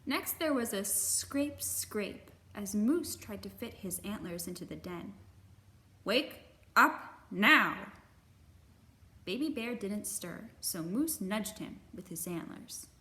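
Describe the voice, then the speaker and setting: A woman hosting a children's storytime, reading a picture book aloud in an expressive, animated way with sound effects. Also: medium pitch, measured pace, few pauses, roomy sound. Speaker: children's librarian, storytime host.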